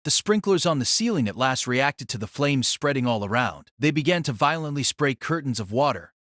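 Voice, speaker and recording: A man narrating a scripted action story as an audiobook reader, with a measured, formal, literary delivery. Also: mid-range voice, sing-song, fairly bright tone, dry recording, clean studio sound.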